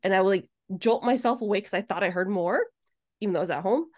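A woman's voice: medium pitch, quick pace, a little mellow. A woman hosting a podcast in a casual, conversational interview style. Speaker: podcast host.

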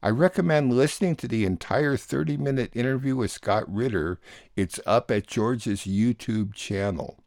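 A man hosting a weekly radio show, reading introductions and appeals to listeners in a steady, measured way. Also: medium pitch, dry recording, studio quality. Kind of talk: plainly read radio introductions.

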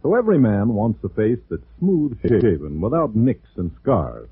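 A man's voice: lowish voice, big pitch swings, normal speed, slightly rough, no room sound. A man reading a scripted commercial as a 1940s radio announcer. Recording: dry, tinny 1940s radio recording.

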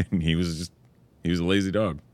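Very deep-voiced man, relaxed. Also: fairly quick. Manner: relaxed, swears freely. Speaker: podcast host. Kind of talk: casual conversation.

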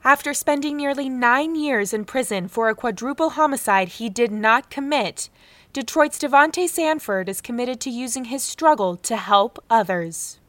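A woman's voice: on the high side, moderate pace, a bit bright, close to an everyday voice. A woman playing a composed, formal news reporter who reports and asks interview questions in an audio drama. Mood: composed, inquiring. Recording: echoey reverberant hall.

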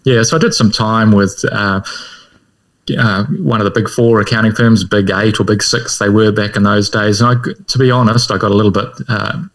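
A middle-aged man with a New Zealand accent talks in a fairly low voice. He tells his story in a relaxed way, with a dry sense of humor about himself. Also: normal speed. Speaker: guest. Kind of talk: casual podcast interview.

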